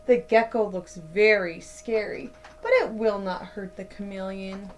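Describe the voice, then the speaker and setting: A woman in her thirties reading a picture book aloud to children like a teacher, with deliberate, dramatic emphasis. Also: mid-range voice, steady tone, slight background noise. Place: small indoor room at home.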